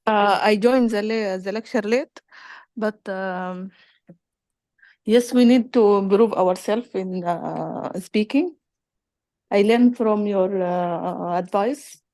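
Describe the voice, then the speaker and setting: A woman student giving halting feedback, with long pauses and drawn-out fillers. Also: medium pitch, mellow, no echo.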